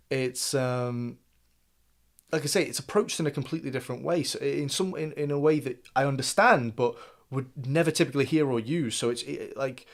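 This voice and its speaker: A young man from England with a plain English accent talks through his reactions in a loose, conversational way. His voice sits at an ordinary middle pitch, and his thoughts come out in stops and restarts, peppered with "like" and "uh.". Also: gravelly. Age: young adult. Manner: musing, thinks aloud with many restarts and fillers. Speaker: reaction video host. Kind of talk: casual reaction commentary.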